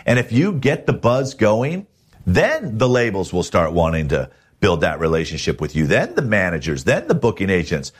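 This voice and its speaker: A man hosting a podcast in a motivational, advice-giving style, emphatic and plain-spoken, with a husky voice. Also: sits low, sing-song, moderate pace. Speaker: podcast host, music manager.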